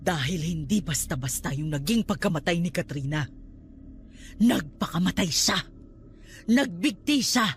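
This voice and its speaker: A woman's voice: on the low side, lively intonation, a little slow, pauses often, a little hiss or hum. An actress playing a stern, superstitious Filipino aunt who scolds her nephew emphatically in a radio drama. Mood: grave, urgent.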